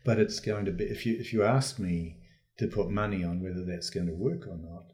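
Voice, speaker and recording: A man with a low, dark-toned voice speaks as an interview guest. He works out his views as he goes, with halting, reworked phrasing in an unscripted discussion. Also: steady tone.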